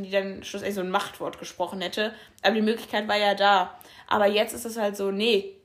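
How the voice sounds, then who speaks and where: A woman's voice: medium pitch, a bit bright. A young woman co-hosting a podcast, talking in a thoughtful, searching way with many fillers. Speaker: podcast co-host. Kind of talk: casual chat.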